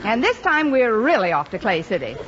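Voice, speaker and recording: An actress playing a wry, wisecracking schoolteacher with a dry, sardonic manner, in old-time radio sitcom style. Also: female voice, a little high, big pitch swings, fairly quick, over loud music, over a noisy crowd. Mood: placating. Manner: dry, sardonic, soothing the boss. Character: wry, wisecracking female schoolteacher, exasperated but composed. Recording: muffled, very noisy vintage radio recording.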